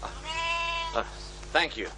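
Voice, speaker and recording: An actor in an audio drama playing a courteous, probing programme maker who asks questions a little hesitantly. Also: male voice, takes it slowly, background noise, lightly acted. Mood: hesitant, puzzled. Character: polite, probing male programme maker seeking witnesses.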